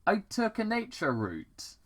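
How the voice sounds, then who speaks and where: A man's voice: high voice, wildly swooping pitch, unhurried, fairly bright tone, pitched above the performer's own voice, full character voice. A man voicing a sarcastic, curious teenage girl from a fan fiction story, in a questioning manner.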